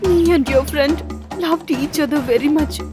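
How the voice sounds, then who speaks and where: A woman's voice: sits high, normal speed, music in the background, lightly acted. A woman playing a young bride in a dubbed film, speaking earnestly and pleading. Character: young bride in love with the groom's friend.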